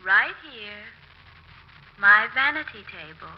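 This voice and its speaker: Slow, haunting ghost of a dead wife. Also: female voice, medium pitch, sing-song. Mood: wistful, eerie. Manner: slow, drawn-out, haunting delivery. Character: ghost of a dead wife, softly beckoning, eerie. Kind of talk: 1940s radio mystery acting. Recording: muffled, hissy 1940s radio recording.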